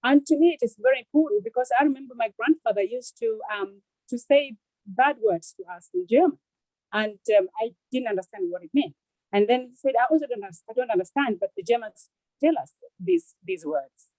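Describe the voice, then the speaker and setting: Earnest woman, high dry voice. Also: lively intonation, a little mellow, dry recording, poor audio. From Tanzania. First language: Kiswahili. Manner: earnest, halting, repeats words. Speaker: audience member invited to speak. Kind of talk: unscripted remarks on a video call.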